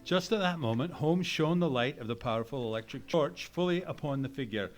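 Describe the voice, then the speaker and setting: Male actor as earnest gentleman sidekick, old-time radio drama, formal storytelling, medium pitch. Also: animated, fairly quick, faint music behind, lightly acted. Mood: dramatic, suspenseful.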